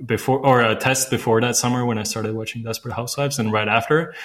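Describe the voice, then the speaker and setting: A man's voice: mid-range voice, steady tone, quick pace, fairly bright tone. A man from Latvia, a native Latvian speaker, talking in English as an interview guest, easygoing and friendly with many fillers. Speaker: guest, recruitment company founder. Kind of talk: casual interview answers.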